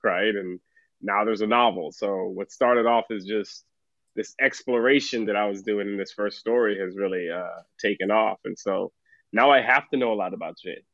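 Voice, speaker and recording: Low-voiced middle-aged man telling stories. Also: moderate pace, dry recording, muffled recording. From Trinidad. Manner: relaxed storytelling, laughs often. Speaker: author guest. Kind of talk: casual podcast conversation.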